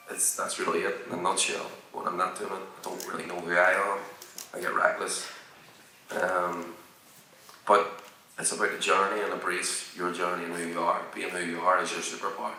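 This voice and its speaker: A man's voice: low voice, bright, forceful tone, slight background noise. A young man, a rapper on a panel, speaking in a heartfelt, motivational, slightly rambling way. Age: young adult. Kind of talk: casual panel talk. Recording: echoey hall, mic far off.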